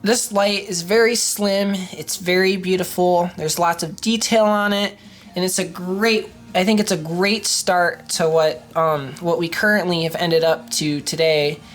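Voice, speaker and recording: A woman's voice: fairly low pitch, fairly even intonation, a little slow. A young woman with a stuffy, congested voice from a cold, chatting enthusiastically with lots of ums and restarts as she shows off a collected item. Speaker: streetlight collector and vlogger. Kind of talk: casual show-and-tell vlog.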